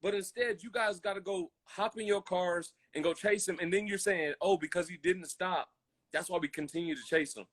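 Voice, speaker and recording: High-voiced American man, emphatic podcast talker. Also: moderate pace, fairly bright tone. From military family, grew up in Germany, Colorado and Hawaii. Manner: emphatic, repeats phrases, acts out imagined quotes. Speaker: podcast co-host. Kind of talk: casual, impassioned podcast conversation.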